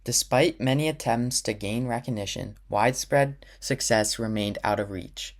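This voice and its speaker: A man reading scripted documentary narration in an even, measured way. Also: mid-range voice.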